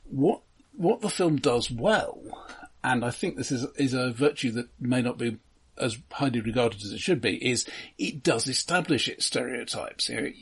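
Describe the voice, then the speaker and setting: A man co-hosting a podcast, casually critiquing films in an analytical, halting way with dry humour. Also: mid-range voice, expressive, vocal fry, fairly bright tone, slightly rough. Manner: analytical, halting, dryly humorous. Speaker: podcast co-host.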